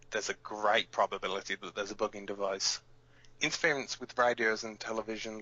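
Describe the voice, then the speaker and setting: A man's voice: on the low side, bright, forceful tone. A man playing a frank, practical resistance surveillance expert, giving matter-of-fact instruction in a radio drama. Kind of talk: scripted audio drama, tutorial delivery. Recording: slightly dull, lo-fi audio.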